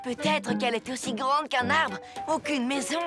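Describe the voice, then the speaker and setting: Woman voicing a small cartoon child, high pitch, bubbly, exclamatory, cheerful, music behind. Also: normal speed, lightly acted. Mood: eager. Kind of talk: animated preschool cartoon dialogue.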